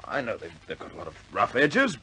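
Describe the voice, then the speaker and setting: An actor playing a proud young RAF officer in a 1950s British war film, with a clipped British accent and an earnest, stammering, respectful way of speaking. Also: male voice, medium pitch, huge pitch swings, brisk, pushed and bright, breathy edge, full character voice. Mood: pleading, indignant.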